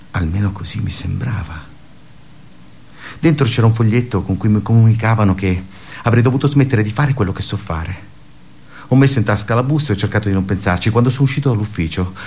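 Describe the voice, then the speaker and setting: Creaky-voiced, tormented office worker. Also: male voice, on the low side, a little hiss or hum. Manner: halting, intense, shifting from hushed murmurs to anguished outbursts. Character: wounded, despairing office worker recounting his suicide attempt. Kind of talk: radio theatre dramatic monologue.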